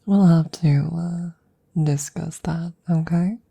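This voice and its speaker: A woman playing an affectionate, teasing witch in an ASMR audio roleplay, speaking softly and gently, often dropping into close whispers. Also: low voice, full character voice. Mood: teasing, fond. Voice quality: soft, breathy, husky, often dropping to whispers. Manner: gentle, unhurried, cooing, playfully teasing. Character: affectionate, teasing young witch doting on her werewolf familiar.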